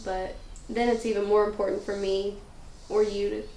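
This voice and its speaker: A woman reading the part of a reflective, hopeful high school cheerleader in a table read. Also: little pitch movement, normal speed, warm tone, close to an everyday voice. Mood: earnest, reassuring. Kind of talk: cold table read of a film script. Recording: roomy echo with faint noise.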